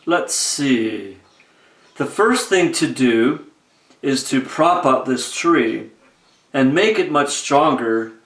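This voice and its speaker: A man voicing a huge, gentle, faithful elephant from a storybook, with an earnest, deliberate manner. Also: mid-range voice, expressive, roomy sound. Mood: thoughtful.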